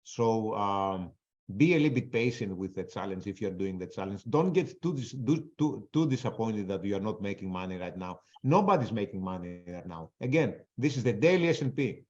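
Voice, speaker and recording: A man's voice: lowish voice, lively intonation, a little fast, a little mellow. A man with a non-native accent in English, giving blunt, casual live trading commentary and answering audience questions. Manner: blunt, casual, swears freely. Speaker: trader presenting a webinar. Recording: dull lo-fi webinar audio.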